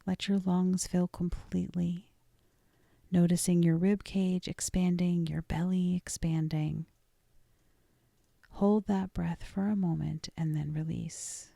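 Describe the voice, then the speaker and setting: A woman narrates a guided meditation in a soft, lowish voice with a good deal of fry. She is gentle and deliberate, leaving pauses and easing off almost into a whisper. Her tone stays dark and relaxed throughout. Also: no room sound, studio quality. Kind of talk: guided meditation, scripted and soothing.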